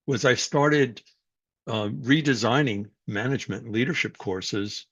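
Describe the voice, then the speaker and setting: An older man from New York City, speaking as a guest and telling his life story in a reflective, unhurried way with frequent fillers. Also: medium pitch, no echo. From New York City, USA. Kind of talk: personal life story, conversational talk. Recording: dull, dry sound.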